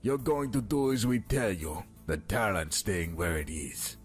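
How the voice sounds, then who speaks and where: A man's voice: fairly low pitch, measured pace, faint music behind, natural voice. An actor playing a cold, commanding male renegade soldier with a menacing manner in a radio drama. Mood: cold, threatening.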